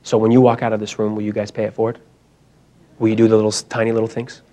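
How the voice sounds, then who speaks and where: A man's voice: lowish voice, monotone, fast, dark tone, highs cut off. Urgent young man at a conference. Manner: urgent, emphatic, repetitive. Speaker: conference speaker. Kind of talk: motivational talk. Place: conference hall.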